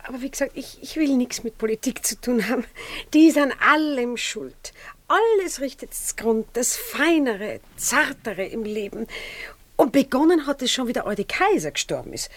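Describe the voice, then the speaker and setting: An actress playing a snobbish, self-pitying Viennese baroness in a radio play, plaintive and affected. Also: female voice, sits high, very animated, normal speed, no room sound. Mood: fretful, indignant. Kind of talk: radio play acting in dialect.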